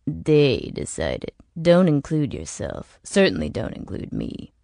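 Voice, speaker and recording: Female narrator doing grim older guy, low and creaky, bitter, measured audiobook dialogue. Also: huge pitch swings, mellow, no echo, exaggerated voice. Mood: bitter, muttering. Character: older man, bitter, grim, world-weary keeper of secrets.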